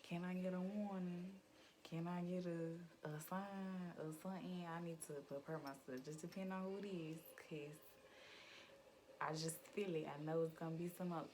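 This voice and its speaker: Young woman, low and slightly raspy, slangy and relaxed, recorded on a noisy phone mic. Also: moderate pace. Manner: laid-back, rambling, slangy, laughs often. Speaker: tarot reader. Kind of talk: casual chat. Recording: noisy background hiss.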